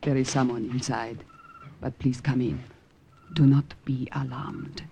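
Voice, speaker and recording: An actress playing a young, frightened Italian woman in an old radio drama, hushed and polite, with an Italian accent. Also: extremely low pitch, steady tone, unhurried, creaky, full character voice. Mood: guarded, reassuring. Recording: noisy vintage radio drama recording.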